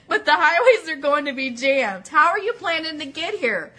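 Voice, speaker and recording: A woman hosting a casual live fan show, chatting and reading aloud in an excitable, informal, giggly way. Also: sits high, moderate pace. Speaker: host of an Elvis fan radio show.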